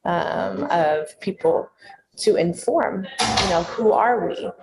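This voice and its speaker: Creaky young woman interviewing. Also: fairly low pitch, very noisy. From San Francisco Bay Area, United States. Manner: affirming, slangy, pausing mid-thought. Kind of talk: casual, reflective interviewing.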